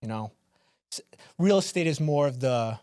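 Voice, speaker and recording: A man's voice: medium pitch, lively intonation, normal speed, a little mellow, smooth, no echo. A man with a New York accent hosting a podcast in a studio, joking around in casual, rambling chat full of restarts. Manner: jokey, playful, rambling with restarts. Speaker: podcast host. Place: small studio.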